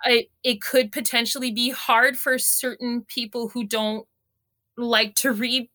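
A woman with a loud voice, chatting in an animated, jokey and self-deprecating way as an interview guest. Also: fairly high pitch, a little slow, slight background noise. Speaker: interview guest, science communicator. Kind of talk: animated casual chat, telling anecdotes.

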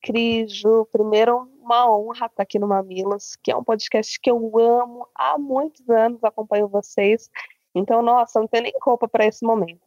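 Uma mulher brasileira, convidada de podcast e produtora, falando de forma entusiasmada e descontraída. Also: female voice, on the high side, steady tone, moderate pace, a little mellow, no room sound, rough recording. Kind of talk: podcast conversation.

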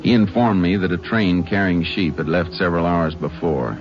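An actor as a deep-voiced, laconic Texas Ranger. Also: male voice, steady tone, normal speed, natural voice. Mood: narrating. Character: calm steady Texas Ranger lawman. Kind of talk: 1950s radio drama acting and narration. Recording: muffled old radio broadcast with music.

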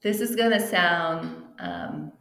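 A woman whose first language is Portuguese chats as an interview guest, slipping in fillers and restarting her sentences. Her voice sits a little low and rings in a noticeably echoey room. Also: wildly swooping pitch, takes it slowly, vocal fry, fairly bright tone. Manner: chatty, with frequent fillers and restarts. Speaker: interview guest, team travel planner. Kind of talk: casual interview chat.

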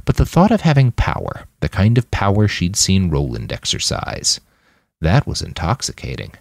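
A man's voice: sits low, huge pitch swings, normal speed, creaky, dry recording. A man narrating a scripted novel as an audiobook reader.

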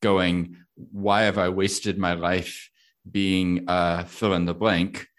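A man with an American accent talks in a low, relaxed voice. He reflects out loud, asks himself questions and laughs easily in casual conversation. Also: takes it slowly. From United States.

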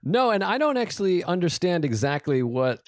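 A man's voice: sits high, sing-song, normal speed, no echo, clean studio sound. A man hosting a podcast interview over a call, joking, swearing and fretting about the audio. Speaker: podcast host.

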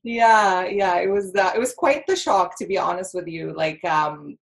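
A woman's voice: on the low side, normal speed, gravelly. A woman in her thirties from Egypt, a podcast guest talking chattily with lots of laughs and fillers. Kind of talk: casual interview chat.

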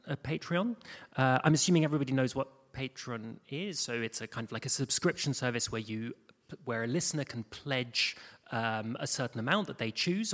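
Male panel guest, mid-range voice, explanatory and halting, recorded live in a reverberant hall. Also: medium pitch. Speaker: panel guest, podcast creator. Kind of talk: unscripted explanatory panel talk. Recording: echoey hall with long reverb.

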